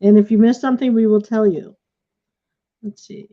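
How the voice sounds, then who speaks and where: A woman's voice: mid-range voice, fairly even intonation, normal speed. A woman hosting an informal online event in an effusive way over a video call. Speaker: host and play director. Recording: dull, lo-fi video call audio.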